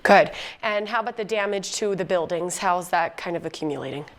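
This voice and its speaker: A woman's voice: on the low side, fairly quick. A woman presenting and interviewing on a live news broadcast in a conversational manner.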